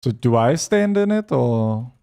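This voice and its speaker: A man from Europe streaming a game, reading chat aloud and answering it between quick asides about his play. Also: medium pitch, big pitch swings, vocal fry, dark tone, smooth. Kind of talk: casual gaming stream chat.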